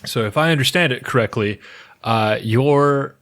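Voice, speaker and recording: A man's voice: medium pitch, normal speed, a bit bright. A man co-hosting a podcast, presenting folklore research in a loose, jokey way with restarts. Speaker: podcast co-host. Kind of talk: casual podcast chat, presenting researched lore.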